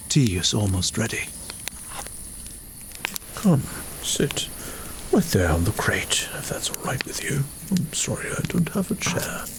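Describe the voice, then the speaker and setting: A man playing a gentle, absent-minded mage in a fantasy ASMR roleplay, soft-spoken and unhurried, sometimes muttering. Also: lowish voice, gravelly, noisy background, heavily acted. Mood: welcoming, hospitable. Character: gentle, absent-minded male mage, hospitable forest dream keeper.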